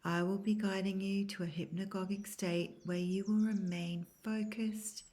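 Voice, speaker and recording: A woman guiding a scripted yoga nidra meditation in a soft, breathy, near-whispered voice, calm and measured. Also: medium pitch. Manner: calm, measured, soothing instruction. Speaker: guided meditation instructor.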